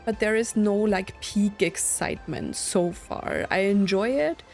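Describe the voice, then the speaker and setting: A woman's voice: medium pitch, a little slow, creaky, soft background music. A woman hosting a gaming video, giving casual commentary and reactions as she plays through.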